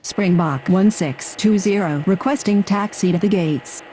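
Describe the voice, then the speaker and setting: A woman voicing a calm, formal air traffic radio operator in a game, using clipped phraseology. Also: mid-range voice, measured pace, natural voice, rough recording.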